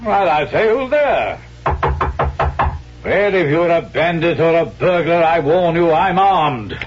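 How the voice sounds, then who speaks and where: A man's voice: fairly high pitch, animated, slow pace, dull audio. A man playing a suave swindler with a stagey English accent and courtly manners in a 1970s radio drama. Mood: guarded. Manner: courtly, mannered, smooth-talking. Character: suave male swindler posing as an English business agent. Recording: band-limited vintage radio broadcast with music.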